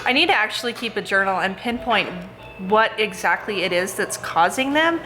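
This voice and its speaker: A woman's voice: mid-range voice, expressive, normal speed, over loud music. A woman vlogging in a casual, chatty way, rambling with lots of fillers. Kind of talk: casual chat to camera. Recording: noisy background hum.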